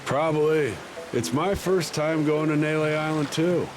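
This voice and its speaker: A man's voice: on the high side, a little slow, background noise, close to an everyday voice. A man voicing an easygoing pirate crewman in a video game, with a breezy, colloquial manner.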